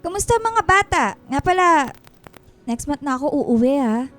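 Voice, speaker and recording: An extremely high, indignant voice a Filipino wife working abroad. She is exasperated and defensive, scolding in radio drama style over background music. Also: big pitch swings, normal speed, a bit bright. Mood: evasive. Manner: indignant, scolding, firing rhetorical questions. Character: defensive, exasperated migrant-worker wife and mother.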